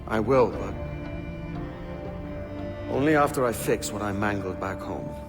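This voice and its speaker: Male pirate captain: weary, determined, lowish voice, echoing hall with music. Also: unhurried. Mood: grave, determined. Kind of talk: dramatic game cutscene acting. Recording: long hall reverb, music behind.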